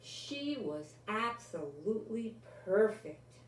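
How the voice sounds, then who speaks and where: Older woman, grandmotherly storybook reader, unhurried and expressive, recorded in a roomy space. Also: medium pitch. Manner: slow, deliberate, expressive storytelling. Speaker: grandmother reading a children's book aloud. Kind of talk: storybook read-aloud with asides. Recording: roomy, distant microphone, faint background noise.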